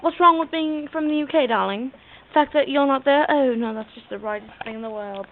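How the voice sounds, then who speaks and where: A woman's voice: high voice, fairly quick. A woman voicing a vain, catty British diva who theatrically calls everyone darling in an amateur comedy skit. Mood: snippy. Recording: tinny narrow-band audio with faint noise.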